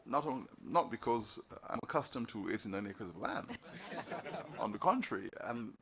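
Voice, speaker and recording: Man, from Barbados, formal council address, measured oratory, repeated phrases, mid-pitched voice. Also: animated, a crowd in the background. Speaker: university leader giving an address. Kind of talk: formal speech at a council meeting. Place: meeting hall.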